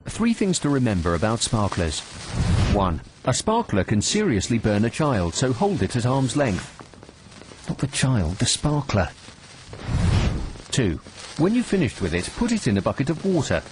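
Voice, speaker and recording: A jokey British safety film presenter gives instructions with mock exasperation. His pitch swings very widely over a clearly noisy soundtrack. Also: sing-song, a little slow, long pauses. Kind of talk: light-hearted instructional narration.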